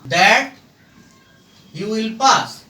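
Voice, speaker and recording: Very slow, echoey grammar teacher. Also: medium pitch, sing-song, pushed and bright. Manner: halting, short phrases with pauses. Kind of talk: slow explanatory grammar lesson. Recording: roomy echo, distant microphone.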